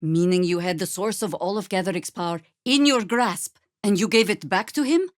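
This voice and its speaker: A woman's voice: mid-range voice, normal speed, dry recording, close to an everyday voice. A woman playing an older, seasoned druid warrior in a fantasy video game, wry, dry and commanding in manner. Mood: wry, measured.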